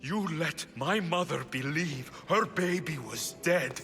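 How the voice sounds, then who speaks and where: A man's voice: a little high, slow, pushed and bright, long reverb tail, soft background music, exaggerated voice. A man playing a fierce, vengeful mercenary hero with an intense, accusing delivery in a video game cutscene. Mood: outraged. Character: fierce, vengeful mercenary hero seeking his lost family. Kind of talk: dramatic game cutscene acting.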